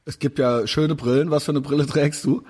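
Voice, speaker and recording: Husky 40ish guy, chatty and rambly, lots of ums, kinda higher voice. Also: steady tone, brisk, a little mellow. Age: 40s. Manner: chatty, rambling with many fillers. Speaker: interviewer. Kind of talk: casual interview over video call.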